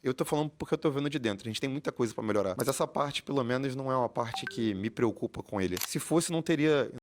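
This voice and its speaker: Fast-talking Brazilian man, casual and slangy. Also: medium pitch, a little hiss or hum. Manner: fast, informal, slangy, repeats himself. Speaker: esports coach. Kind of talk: casual explanation to viewers.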